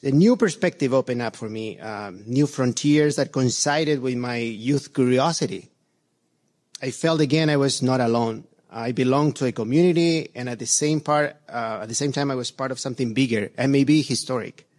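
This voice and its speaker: A middle-aged church elder from Chile speaks to his congregation in an earnest, halting way. He stops often on fillers and starts his sentences over, and his voice sits at an ordinary pitch for a man. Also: a little mellow. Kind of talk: church reflection talk.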